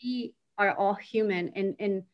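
A woman's voice: mid-range voice, measured pace, muffled recording. A woman speaking as a guest in a casual interview, talking freely and often adding "you know" and "right?". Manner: talkative, peppers speech with "you know" and "right?".

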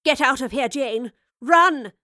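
A woman's voice: high voice, big pitch swings, a little slow, no room sound, clean studio sound, voice pushed up. A woman voicing a plucky, courteous storybook professor, slightly flustered and given to exclaiming. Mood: urgent. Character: eccentric, polite professor, plucky and encouraging.

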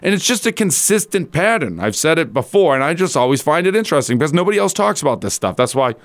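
Rough-voiced man hosting sports talk radio, dry sound. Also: a little high, very animated, quick pace, no echo. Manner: colloquial, rambling, conversational asides. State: slightly rough, strained voice from yelling.

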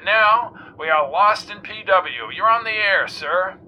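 A man voicing an excitable male radio talk show host with a loud, high, bouncy delivery. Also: measured pace, lo-fi sound, slight background noise. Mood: bubbly.

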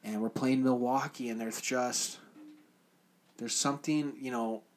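A man's voice: medium pitch, fairly even intonation, measured pace. A man co-hosting a sports podcast, casually breaking down games with opinions and slang. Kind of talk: casual sports commentary chat.

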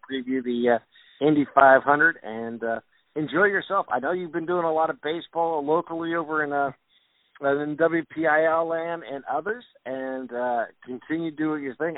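A man hosting a sports podcast, talking in a relaxed, rambling way full of fillers. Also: fairly high pitch, no room sound. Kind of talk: casual sports interview. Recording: tinny phone-like sound.

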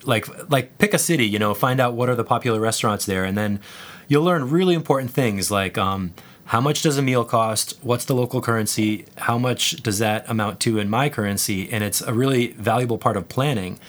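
A man speaking as an interview guest, relaxed and rambling with frequent fillers. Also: medium pitch, a little fast. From United States. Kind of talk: conversational interview.

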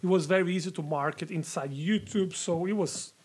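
A man's voice: sits high, fairly quick, husky. A man in his forties from Brazil, speaking English with a Brazilian accent as a podcast guest, earnest and repetitive in casual chat. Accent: Brazilian Portuguese. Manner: earnest, repeats himself, swears casually.

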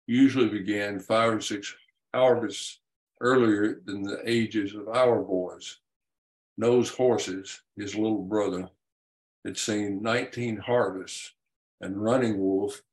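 A man, an author and podcast guest, telling and explaining a historical story in a measured, deliberate way. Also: on the low side, rough recording. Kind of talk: reading his own historical story and explaining it.

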